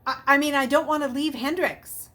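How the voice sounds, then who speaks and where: Earnest schoolboy played by a woman, storybook, lifted pitch, urgent, insistent, questioning. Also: steady tone, moderate pace, a bit bright. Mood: firm.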